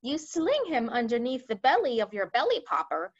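A woman voicing a gentle, excitable giant from a children's storybook, exclaiming in muddled, childlike grammar with garbled words. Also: a little high, normal speed, lo-fi sound. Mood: eager explaining.

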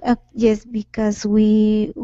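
Colombian accented woman, spanish native, slow halting english, flat mellow voice, muffled call. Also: medium pitch, no room sound. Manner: hesitant, halting learner English. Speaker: student. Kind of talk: halting lesson conversation. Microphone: video call. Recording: muffled video call audio.

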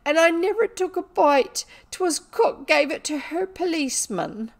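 A woman voicing a young, hungry servant girl in a storybook, sobbing and protesting in rough dialect. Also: very high-pitched, animated, unhurried, voice pushed up, full character voice. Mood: tearful protest. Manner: sobbing, uneducated dialect speech with dropped words. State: crying.

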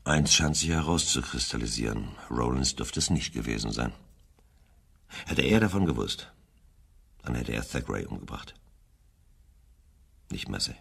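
A deliberate police detective in a German radio play. His voice sits extremely low, husky and gravelly, yet his intonation moves freely as he probes. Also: fairly bright tone, full character voice. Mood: pondering. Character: deliberate, probing senior male police detective. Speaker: actor playing a senior police investigator.

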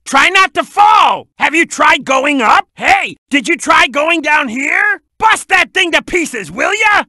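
A man voicing a brash, gruff, excitable crocodile detective, a loud and streetwise video game sidekick giving emphatic hints. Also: extremely high pitch, slow pace, no room sound, studio quality, heavily acted. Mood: urgent coaching. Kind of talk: animated video game voice acting.

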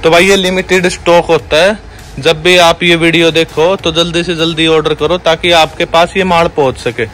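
A young man with a slightly raised voice rattles off a pushy, repetitive sales patter. He is filmed on a handheld phone inside a large clothing warehouse. Music plays clearly behind him. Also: a little high, fairly even intonation, moderate pace, a bit bright. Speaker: wholesale clothing seller. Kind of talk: sales pitch video.

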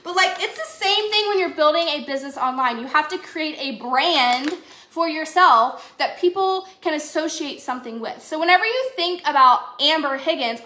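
Giggly girl rambling on a phone livestream, sharp bright tone, slight hiss behind. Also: high voice. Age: young adult. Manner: rambling, giggly, talks to viewers by name. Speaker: livestream host. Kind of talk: chatty live grocery haul. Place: home kitchen, indoors. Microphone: phone camera at arm's length. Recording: echoey kitchen with faint noise.

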